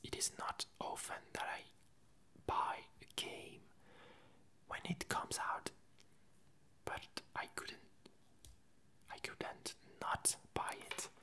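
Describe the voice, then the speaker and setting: A person with a French accent and French as native language, whispering a casual ASMR chat in a hesitant, unhurried way, close to the microphone. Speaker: ASMR video creator.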